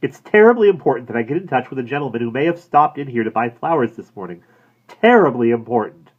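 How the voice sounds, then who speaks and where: An anxious young woman, high-pitched and breathless, pleading with a desperate urgency, as a man reading a story puts her on. The voice leaps high above the narrator's own, and the recording sounds dull and lo-fi. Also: very animated, moderate pace.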